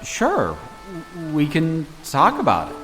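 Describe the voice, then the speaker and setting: A woman playing an excitable, flustered but plucky young technician in a fan audio drama. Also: low voice, slow, over music, exaggerated voice, rough recording. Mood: dry, relaxed.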